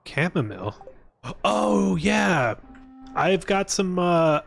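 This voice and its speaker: A man's voice: high-pitched, very animated, takes it slowly, gravelly, soft background music. A man giving casual, rambling gaming stream commentary, thinking aloud and joking as he plays. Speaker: video game livestreamer.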